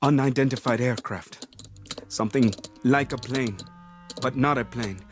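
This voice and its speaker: An actor playing a stern, terse village police chief in a radio drama, with a commanding manner. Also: male voice, mid-range voice, measured pace, soft background music, natural voice. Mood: grim, insistent.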